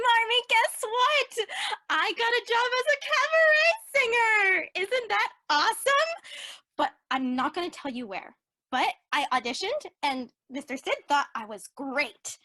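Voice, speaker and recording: A woman's voice: very high-pitched, sharp tone, no echo, heavily acted. An actress in a radio play as an earnest, eager eighteen-year-old girl who dreams of singing. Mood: elated. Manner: earnest, youthful.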